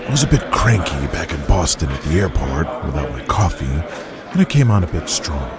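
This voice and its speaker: A controlling wrestling boss , acted by a man, talks in a deep, deliberate voice. He is smooth and persuasive, with clear music behind him in an audio drama. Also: big pitch swings. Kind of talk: audio drama character acting.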